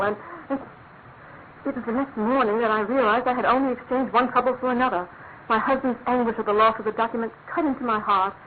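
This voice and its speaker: Through a thin, phone-like sound with noise comes a highish voice of an actress as an aristocratic English wife. She pleads in formal, halting phrases, full of guilt and anguish, in classic radio drama fashion. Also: lightly acted. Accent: English upper-class.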